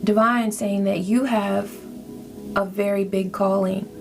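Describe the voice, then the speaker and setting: Gravelly-voiced woman reading tarot. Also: mid-range voice, fairly even intonation, a little slow, music in the background. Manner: reassuring, repeats "okay?" often. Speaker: tarot reader. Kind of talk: casual spiritual tarot reading.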